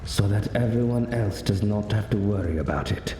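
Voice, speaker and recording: An actor playing a calm, wry male mentor in a video game, speaking in a measured, formal, instructive way. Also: lowish voice, slight background noise, natural voice. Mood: solemn, instructive. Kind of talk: video game cutscene acting. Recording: echoey hall reverb, music behind.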